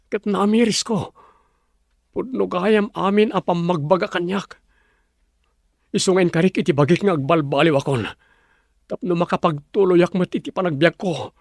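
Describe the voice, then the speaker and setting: An actor with an Ilocano accent playing a remorseful, earnest older brother freed from prison, in a drama. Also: male voice, high voice, moderate pace, gravelly, long pauses, no room sound, clean studio sound. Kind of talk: drama dialogue.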